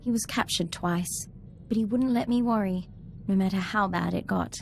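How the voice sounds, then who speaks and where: A woman's voice: medium pitch, normal speed, vocal fry, soft background music, lightly acted. Earnest young female ensign played by an actress. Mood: reflective, friendly. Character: eager, respectful young female military ensign. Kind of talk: video game cutscene acting.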